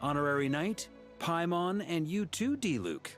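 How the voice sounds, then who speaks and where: A man's voice: on the high side, expressive, unhurried, faint music behind. A man playing a solemn, eloquent knight in a video game, telling lore in a formal storytelling manner. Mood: solemn, courteous.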